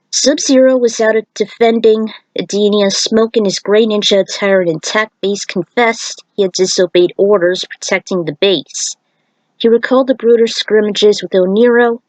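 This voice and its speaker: A woman's voice: medium pitch, dry recording, poor audio. Dry-sounding woman narrating a story.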